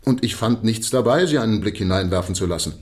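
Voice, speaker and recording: A man's voice: fairly low pitch, lively intonation, fast talker, lightly acted. An actor playing a deferential, sheepish police constable in a radio drama, respectful and plain-spoken as he explains himself. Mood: sheepish, explaining.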